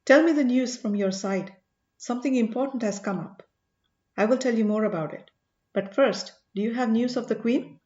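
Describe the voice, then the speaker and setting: A woman's voice: a little high, moderate pace, a little mellow, natural voice. Woman voicing a commanding sage, dull echoey recording. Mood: eager. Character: commanding male sage, earnest, probing, mildly excitable. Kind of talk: audiobook character dialogue.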